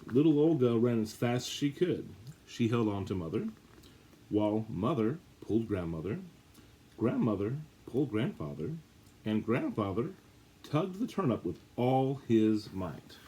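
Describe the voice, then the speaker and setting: Male children's story reader, husky tone, highly varied intonation, theatrical stress on key words. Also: big pitch swings, warm tone, poor audio. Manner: dramatic, drawn-out emphasis and repetition. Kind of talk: animated read-aloud of a children's picture book.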